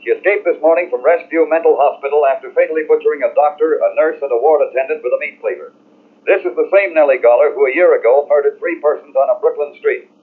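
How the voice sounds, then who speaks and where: An actor playing a formal, urgent newscaster heard over a car radio in an old radio drama. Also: male voice, fairly high pitch, quick pace, husky. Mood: grave, urgent. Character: urgent radio newscaster reading a police warning. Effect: car radio speaker. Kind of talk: vintage radio news bulletin. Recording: tinny, narrowband old radio recording.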